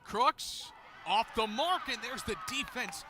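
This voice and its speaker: High-pitched American man calling a game. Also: lively intonation, slow. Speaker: play-by-play announcer. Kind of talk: live sports commentary. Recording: clear outdoor stadium noise behind. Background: outdoor ambience of a game.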